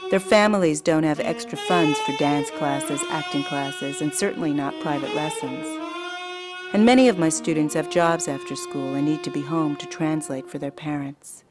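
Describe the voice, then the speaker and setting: A woman who works as an actress, writer and teacher, giving measured, reflective scripted narration. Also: sits low. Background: violin music.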